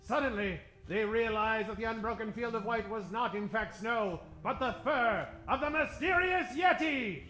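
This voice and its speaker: A man's voice: sits high, animated, normal speed, few pauses. A man narrating an old-time radio adventure serial in a dramatic, suspenseful and theatrical manner. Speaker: narrator and announcer. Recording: roomy stage sound, faint music behind.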